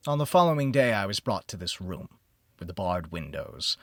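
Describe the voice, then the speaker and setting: A man narrating a gothic horror audiobook in the first person, with a theatrical, solemn, deliberate delivery. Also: deep voice, big pitch swings, a bit bright, no echo, clean studio sound. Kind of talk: dramatic scripted narration of a gothic horror story.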